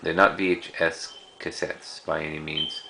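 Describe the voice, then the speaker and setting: Casting: man, very low creaky voice, casual collector showing items, halting and unscripted, slight hiss of background noise. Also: flat delivery. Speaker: cassette collector presenting a collection. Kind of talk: casual unscripted show-and-tell.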